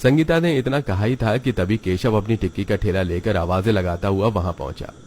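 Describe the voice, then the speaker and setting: A man's voice: fairly low pitch, a little fast, a little mellow, a little hiss or hum. A man narrating a children's cartoon story from a script.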